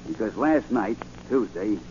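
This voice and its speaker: An actor playing a sullen, insistent psychic with rough, slangy talk in 1940s radio style. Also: male voice, fairly low pitch, animated, unhurried, darkish tone. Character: sullen, insistent, streetwise male self-proclaimed psychic. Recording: muffled, faintly noisy 1940 radio recording.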